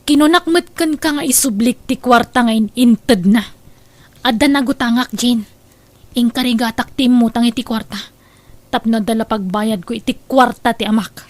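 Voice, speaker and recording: A very high, emotional young female voice from an actress with an Ilocano accent. She is a proud, anxious daughter in a radio drama, quick to reproach and full of feeling. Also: darkish tone, no room sound, natural voice. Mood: bitter.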